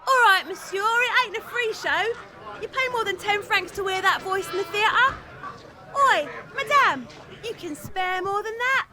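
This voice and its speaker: A brash street woman from an audio drama, high-voiced and wildly animated. She is coarse, slangy and excitable, an envious hanger-on who hustles for her share. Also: unhurried, a crowd in the background. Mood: hustling.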